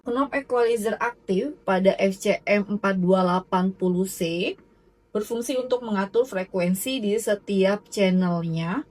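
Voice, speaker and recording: A woman presents a product demonstration. Her voice sits at a medium pitch. She explains things in an instructional manner, as a presenter guiding a user through features. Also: darkish tone, low-quality recording. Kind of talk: product demo narration.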